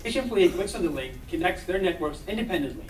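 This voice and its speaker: Young guy from israel pitching his startup, kinda high voice, echoey hall, mic far away. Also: lively intonation, fast talker, a little hiss or hum. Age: young adult.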